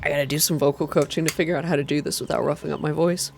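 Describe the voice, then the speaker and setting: A woman's voice: low-pitched, fairly even intonation, racing, creaky, background noise. A woman narrating a story aloud in an ASMR reading style.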